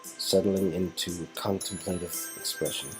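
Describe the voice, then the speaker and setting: A man's voice: low voice, fairly even intonation, moderate pace, music in the background. A man reading scripted second-person romance narration and dialogue from an interactive story. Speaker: narrator and co-reader.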